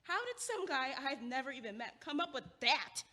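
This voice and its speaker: A woman's voice: sits high, animated, normal speed, sharp tone. A young woman playing a quirky, argumentative student in an audio play, sardonic and emphatic, with logical comebacks. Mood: wry. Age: young adult. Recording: long echoing hallway reverb.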